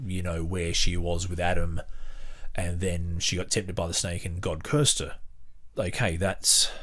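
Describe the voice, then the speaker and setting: A man with a fairly low voice hosts a podcast, commenting casually on a book he reads from. He rambles and halts as he thinks aloud, with a sardonic edge to his delivery. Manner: halting, sardonic, mock-surprised at times. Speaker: podcast host.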